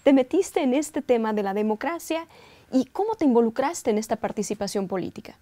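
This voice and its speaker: A woman with a fairly high voice hosts an interview. She sounds measured and inquisitive as she poses questions and sums up. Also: expressive, warm tone. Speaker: interview host.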